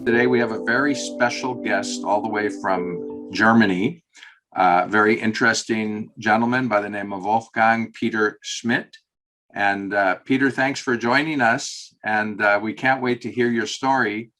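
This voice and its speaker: Male interview host with a low voice and loose, filler-heavy questions. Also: steady tone, a little slow, bright, forceful tone, soft background music.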